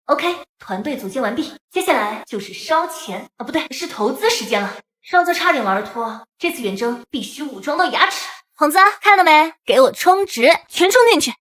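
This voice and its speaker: A woman playing a bold young princess who commands her team with self-assurance in an animated drama. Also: high voice, normal speed, dry recording. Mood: gleeful. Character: bold young princess commander, money-minded, decisive and protective.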